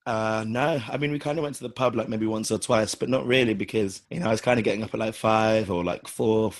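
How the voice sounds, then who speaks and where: British guy w a tired husky voice, talks real fast, teasing his cohost. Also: on the low side, steady tone, no room sound. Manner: teasing, playful. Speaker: podcast co-host. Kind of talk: casual chat.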